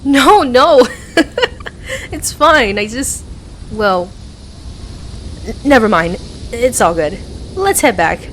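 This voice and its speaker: A woman's voice: on the high side, lively intonation, takes it slowly, pauses often, a bit bright, slight background noise. A woman playing a playful, affectionate young best friend with a hesitant, earnest way of talking, in an ASMR roleplay audio. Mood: flustered.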